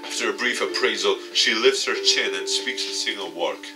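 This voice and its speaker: A man hosting a let's play, reading story text aloud and adding excitable asides. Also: lowish voice, moderate pace. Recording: distant mic with music behind. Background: guitar game music.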